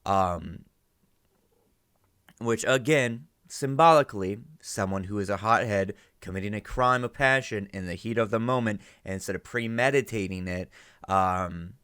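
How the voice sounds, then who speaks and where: A man's voice: on the low side, normal speed, fairly bright tone, smooth, no echo, clean studio sound. A man giving casual, rambling reaction commentary as a host, often tagging his phrases with "right?". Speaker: host reacting to and analysing an audio drama.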